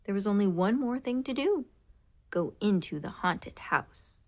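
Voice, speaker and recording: A woman reading a children's picture book aloud in an expressive, animated way. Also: medium pitch, a little slow. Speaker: storybook reader. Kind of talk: children's read-aloud narration.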